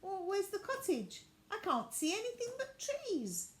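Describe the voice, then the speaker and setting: A woman acts out a young girl from a children's storybook, high-pitched and very lively in her intonation. She is anxious and squeamish, exclaiming and complaining with great drama. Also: unhurried, reverberant, heavily acted. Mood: disbelieving.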